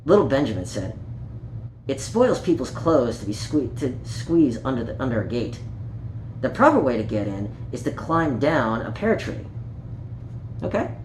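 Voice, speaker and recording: A person reading a children's story aloud in an animated, playful way, with small asides and stumbles over words. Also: mid-range voice, normal speed. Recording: echoey room with light background noise.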